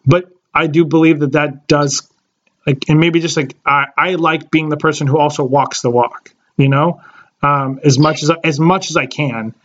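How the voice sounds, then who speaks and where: A man's voice: fairly high pitch, steady tone, moderate pace, dull audio. A man in his late twenties, a podcast co-host chatting casually and rambling with many fillers and restarts. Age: late 20s. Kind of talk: casual chat.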